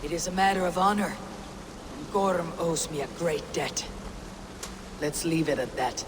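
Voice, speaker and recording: A woman playing a steady, determined Norse warrior in a video game, speaking tersely and with composure. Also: sits low, unhurried, long reverb tail, background noise. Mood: firm. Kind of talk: video game cutscene acting.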